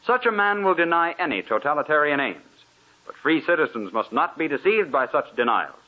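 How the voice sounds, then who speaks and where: A man's voice: on the high side, big pitch swings, a little fast. A man as a radio announcer reading a scripted 1940s sponsor address in a formal, earnest, oratorical way. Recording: muffled old radio recording.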